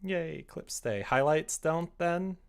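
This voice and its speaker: A man's voice: on the high side, slow, creaky, smooth. Chatty young man streaming casually. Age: young adult. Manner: chatty, playful, reading and answering chat. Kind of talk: casual livestream chat. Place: small room at home, indoors.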